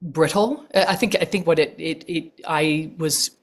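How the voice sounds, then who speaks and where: Middle-aged actress guest with a very low voice, reflective, measured, lots of pauses. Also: female voice. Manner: thoughtful, measured, with frequent pauses and fillers. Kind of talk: reflective interview answers.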